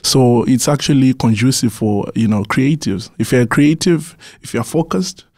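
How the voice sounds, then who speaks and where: A man's voice: mid-range voice, normal speed, a little mellow, no echo, clean studio sound. A young man from Zimbabwe, a musician guest on a radio interview, answering earnestly and often restarting his words. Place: radio studio.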